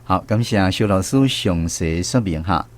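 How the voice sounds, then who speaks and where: A man's voice: low voice, a little slow, no room sound. A man with a Taiwanese accent hosting a radio language quiz, speaking to listeners and students.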